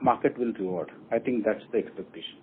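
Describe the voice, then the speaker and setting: A man with an Indian accent, a company executive answering questions on an earnings call in a deliberate way, laying out his points one by one. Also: on the low side, husky. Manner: deliberate, repeats and enumerates points. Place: telephone conference call. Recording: muffled phone line with faint noise.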